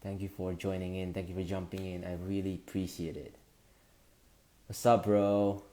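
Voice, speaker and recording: A man, hosting a casual live stream, greeting viewers and talking in halting bursts with lots of fillers. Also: low voice, little pitch movement, warm tone. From Indonesia. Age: 20s.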